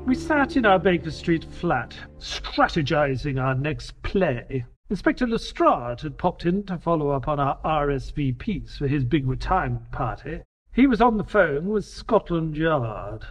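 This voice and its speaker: Earnest detective's companion and narrator played by a male actor, high husky voice, audio-drama storytelling. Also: very animated, measured pace, few pauses, soft background music. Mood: dramatic narration.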